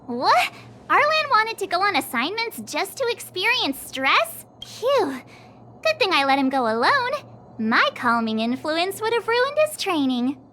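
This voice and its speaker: A woman voicing a perky, breezy, self-assured teenage girl adventurer in an anime-style video game, chirpy and expressive. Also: extremely high pitch, measured pace, slight background noise, full character voice. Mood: breezy, self-satisfied.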